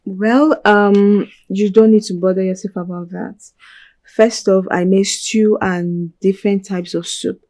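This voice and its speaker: An actress playing a wife gently coaxing her husband into favors. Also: medium pitch, fairly even intonation, measured pace, dry recording, natural voice.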